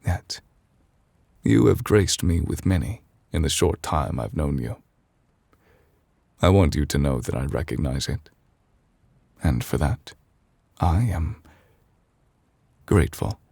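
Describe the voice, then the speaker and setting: A man voicing a solemn, gentle, ancient immortal chief justice in an ASMR roleplay, speaking formally and haltingly in a confiding, gravelly, breathy voice. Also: rumbling low, lively intonation, no echo, exaggerated voice. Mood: grateful, gentle.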